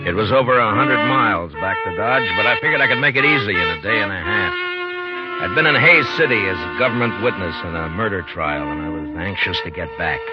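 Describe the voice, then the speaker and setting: Actor as deep-voiced, grim western marshal. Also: male voice, lightly acted. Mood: brooding. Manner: brooding, terse. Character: weary, grim frontier marshal recalling a hard ride. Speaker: lead actor, narrating. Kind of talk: 1950s radio drama first-person narration. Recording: dull 1950s radio recording, loud music.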